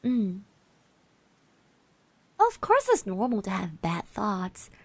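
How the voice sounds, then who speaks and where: A woman speaking softly in an ASMR roleplay, gently reassuring the listener, musing with pauses and little hums, close to the microphone. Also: mid-range voice, wildly swooping pitch, dry recording. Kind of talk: soft-spoken ASMR roleplay, personal encouragement. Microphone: close microphone, dry.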